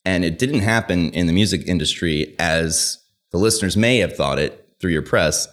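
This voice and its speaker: A man hosting a podcast interview, playful and quick to laugh, swearing casually. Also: low voice. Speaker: podcast host.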